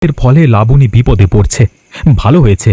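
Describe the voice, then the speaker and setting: A man voicing a worried cartoon husband searching for his missing wife, earnest and mellow. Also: mid-range voice, expressive, moderate pace, slightly rough, dry recording.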